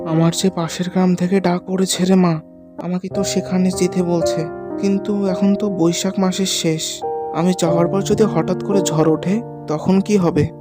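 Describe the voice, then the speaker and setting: A woman's voice: on the low side, fairly even intonation, moderate pace, natural voice. Woman voicing a worried village father, music behind. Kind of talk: animated cartoon voice acting.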